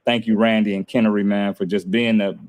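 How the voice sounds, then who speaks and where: A man's voice: lowish voice, flat delivery, brisk, darkish tone. A man hosting a casual podcast, talking in a laid-back, grateful way and laughing often. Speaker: podcast host. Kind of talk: casual chat.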